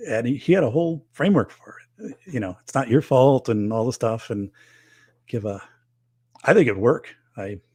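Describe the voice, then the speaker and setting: A man's voice: medium pitch, lively intonation, moderate pace, dark tone, slightly rough, no echo, studio quality. A middle-aged man hosting a live show, chatting casually and rambling with many fillers and restarts, losing his voice. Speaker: livestream host reading viewer comments.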